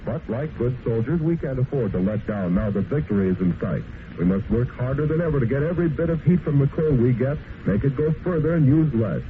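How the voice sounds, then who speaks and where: A man reading a scripted 1940s radio commercial in a formal, smooth announcer delivery. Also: mid-range voice, slight background noise. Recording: old wartime radio broadcast, tinny.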